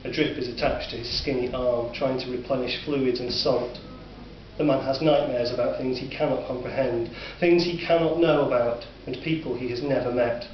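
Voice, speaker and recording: Northern English man, sombre measured narration, distant from the mic, roomy dull sound. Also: medium pitch, fairly even intonation. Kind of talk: scripted story narration. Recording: distant, roomy, dull recording with faint hiss.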